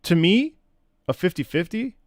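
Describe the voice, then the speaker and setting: A man's voice: high voice, big pitch swings, normal speed, no echo. A man streaming from home, chatting casually with viewers in a rambling, opinionated way, often repeating and restarting his phrases. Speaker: streamer and content creator. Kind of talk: casual livestream chat with viewers. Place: indoors at home.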